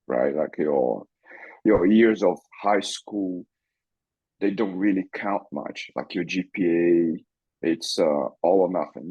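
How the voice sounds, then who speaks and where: A man from Brazil with a Brazilian Portuguese accent, a podcast guest telling his story in a relaxed, easygoing way. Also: low voice, slightly rough, dry recording, rough recording. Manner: relaxed, chuckles often, repeats words as he thinks. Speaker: farrier school director, guest. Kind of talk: casual interview storytelling.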